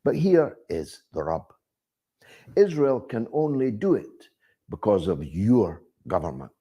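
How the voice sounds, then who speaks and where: A man delivering political commentary as a monologue, phrasing deliberately and emphatically, with rhetorical questions and repetition. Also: fairly low pitch, big pitch swings, warm tone, husky. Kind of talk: spoken political commentary to an audience.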